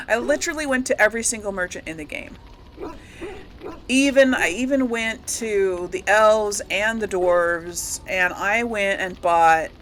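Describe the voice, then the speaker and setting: A woman's voice: medium pitch, fairly bright tone, poor audio. Chatty woman gamer with background noise. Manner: rambling, chatty, thinking aloud. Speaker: gaming video host. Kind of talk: casual let's-play commentary to viewers.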